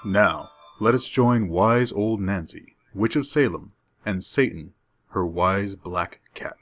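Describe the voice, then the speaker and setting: A man's voice: fairly low pitch, slow, fairly bright tone, soft background music. A man announcing a program in an old-time radio style.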